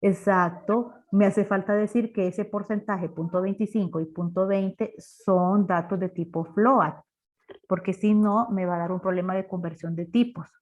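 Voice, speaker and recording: A woman's voice: mid-range voice, fairly even intonation, moderate pace, darkish tone. Woman teaching programming, dull lo-fi audio. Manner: didactic, asks rhetorical questions. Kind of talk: live class explanation, step by step. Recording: dull lo-fi online class audio.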